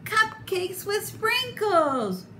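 A woman's voice: extremely high pitch, big pitch swings, extremely slow, voice pushed up, heavily acted. A woman voicing a sweet, affectionate, bubbly young girl monster from a picture book, eager and exclaiming. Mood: eager, cheerful. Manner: bright exclamations, sometimes bursting into song. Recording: roomy, noisy home recording.

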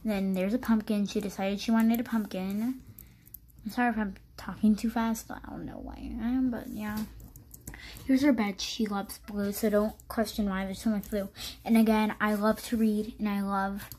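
A woman's voice: mid-range voice, fairly even intonation, moderate pace, mellow, slight background noise. Chatty young girl giving a house tour. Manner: rambling, chatty, many restarts and fillers. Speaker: channel host. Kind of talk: casual game house tour.

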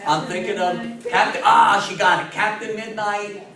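An American man in his sixties giving an interactive public talk, animated and theatrical, full of rhetorical questions. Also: high-pitched, moderate pace, creaky, crowd noise. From New York State, US. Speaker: lecturer giving a history talk. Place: small meeting room with seated audience. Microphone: far camera. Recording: echoey room, distant camera microphone.

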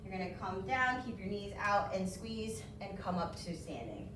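A woman demonstrating exercises, giving clear, practical coaching cues. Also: mid-range voice, animated, normal speed. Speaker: fitness instructor. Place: large bare indoor room. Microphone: far camera. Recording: echoey room, distant, some noise.

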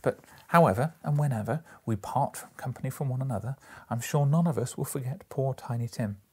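A man voicing a gentle, grieving father who talks earnestly and tenderly to his children in a storybook reading. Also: mid-range voice, brisk, husky, voice pushed up, natural voice. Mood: tender, bittersweet.

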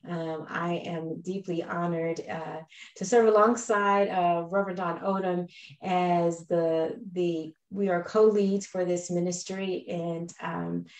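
A woman's voice: lowish voice, steady tone, takes it slowly, darkish tone. A middle-aged American woman from Texas, a minister speaking conversationally as a guest on a video call. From Texas, United States. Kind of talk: conversational interview. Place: indoors on a video call, virtual background. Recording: echoey video call audio.

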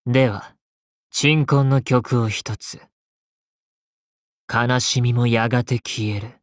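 男性声優がゲームで演じる、悲哀に満ちて丁寧な物言いの、冷徹で詩的な騎士の弓使い。. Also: male voice, mid-range voice, fairly even intonation, no room sound, clean studio sound, natural voice. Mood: gentle, melancholy. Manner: slow, formal, poetic delivery. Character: sorrowful, courtly male knight archer, poetic and coolly merciless. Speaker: voice actor in a mobile game. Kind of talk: theatrical game battle lines. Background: game music.

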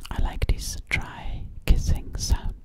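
A woman's voice: slow pace, natural voice. A woman playing a sweet, slightly sassy alien girl in an ASMR roleplay, whispering breathily close to the microphone and repeating her words soothingly. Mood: coy, insistent. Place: dim living room indoors. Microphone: close-up at a large ASMR microphone.

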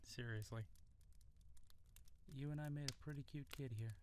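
A man acting as a gentle, affectionate young husband and new father in an intimate, one-sided audio roleplay, soft-spoken and tender. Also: mid-range voice, steady tone, moderate pace, noisy background, close to an everyday voice. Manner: tender, soft-spoken, playful baby talk at times.

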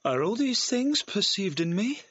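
An actor playing a lovesick young gentleman and master in a classical audio drama, lofty and earnest. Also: high voice, very animated, unhurried, breathy edge, no echo, full character voice. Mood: indignant. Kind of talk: classical stage-style audio drama acting. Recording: dull, band-limited vintage audio recording.

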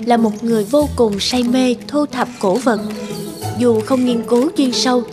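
A woman's voice: fairly high pitch, moderate pace. A woman narrating a scripted story as its storyteller. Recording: music under the voice.

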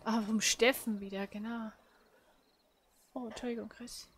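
A woman co-commentates on a game in a casual, conversational way. Her voice is slightly high, with faint background noise. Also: level tone, a little slow. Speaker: let's play co-commentator. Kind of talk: casual chat over gameplay.

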